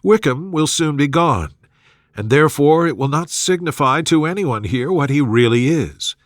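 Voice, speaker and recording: Guy as a sensible young lady in an old novel, measured and wry, slightly high voice, dry sound. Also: expressive, no room sound, lightly acted. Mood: resolute, wry. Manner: measured, reasoning. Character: sensible, composed young woman, wry and discreet.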